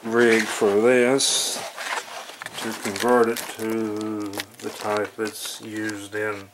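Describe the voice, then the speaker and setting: Male hobbyist, unscripted and halting, very unhurried pace, thinking aloud over clear background noise on a camera mic. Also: lowish voice, flat delivery. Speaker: hobbyist demonstrating a reel-to-reel tape recorder. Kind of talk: casual off-the-cuff video narration. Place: small cluttered room at home, indoors. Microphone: camera microphone near the equipment. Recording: noisy camera audio with clicks.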